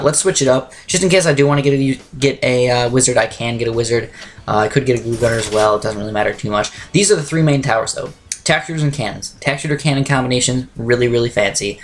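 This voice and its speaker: A young man with a slightly raspy voice, talking quickly through game strategy as a let's play commentator. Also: medium pitch. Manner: fast, chatty, self-correcting strategy talk. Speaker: gameplay commentator.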